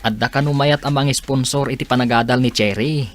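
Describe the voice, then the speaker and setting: A man's voice: mid-range voice, steady tone, brisk, close to an everyday voice. A man acting a curious, hesitant buddy and go-between with an Ilocano accent in a radio comedy drama. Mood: explaining, hesitant.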